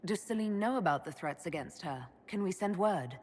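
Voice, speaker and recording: Woman acting dry, low-pitched fantasy leader. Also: expressive, normal speed, a little mellow, lightly acted. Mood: level, dry. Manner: dry, direct, matter-of-fact. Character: level-headed female fantasy leader, dry and guarded. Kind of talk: video game character dialogue. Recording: long hall reverb.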